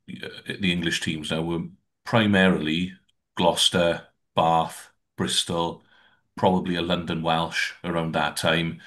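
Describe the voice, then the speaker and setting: A man with a very deep voice discusses rugby casually as a podcast panellist. His intonation stays fairly even, and he talks in a measured, rambling, matter-of-fact way.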